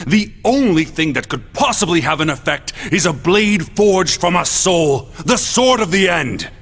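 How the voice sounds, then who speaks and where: An actor playing a smug, theatrical villain who speaks in a deliberate, grandiose way in a video game cutscene. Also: male voice, sits high, very animated, creaky, a bit bright, husky, heavily acted. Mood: smug, taunting. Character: smug, theatrical male villain, coolly taunting. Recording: long hall reverb.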